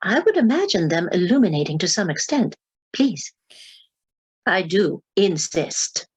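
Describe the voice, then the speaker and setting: An actress playing a formal, insistent questioner in a radio play, speaking with deliberate precision. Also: female voice, lowish voice, big pitch swings, slightly rough, heavily acted. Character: probing, insistent, formal female interviewer.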